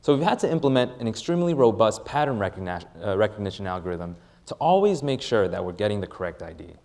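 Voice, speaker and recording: A man delivers a rehearsed startup pitch in a lower-pitched voice. His delivery is very lively, with wide swings in intonation and deliberate emphasis on key phrases. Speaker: startup presenter. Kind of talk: rehearsed product pitch presentation.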